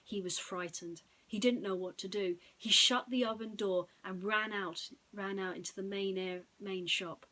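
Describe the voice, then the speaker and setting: Woman reading a bedtime story, mid pitch, dramatic suspenseful storyteller style. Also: fairly even intonation, normal speed, few pauses, bright, forceful tone, poor audio.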